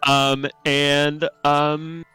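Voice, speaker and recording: A man's voice: a little high, little pitch movement, drawn-out words, bright, forceful tone, clear, resonant tone, no echo. A man chatting casually about his own work, hesitant and often correcting himself. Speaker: writer and co-host.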